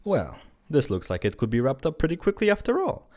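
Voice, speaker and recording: A performer voicing a brisk, dry afterlife official with an officious, mildly bored manner in an amateur audio drama. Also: medium pitch, huge pitch swings, a little mellow.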